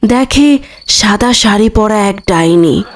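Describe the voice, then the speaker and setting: A woman's voice: mid-range voice, expressive, slow pace. A woman narrating a scripted horror story as a storyteller.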